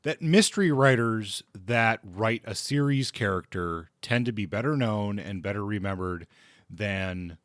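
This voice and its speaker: Male co-host, lowish voice, very dry recording; informal explainer who reworks his points mid-thought. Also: animated, measured pace, a bit bright, dry recording. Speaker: podcast co-host discussing books. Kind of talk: casual explanatory discussion.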